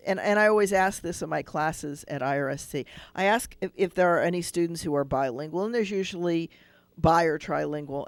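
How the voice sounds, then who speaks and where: A man's voice: fairly high pitch, dry recording. A man hosting a radio call-in show as a rabbi, explaining at length and thinking aloud with restarts.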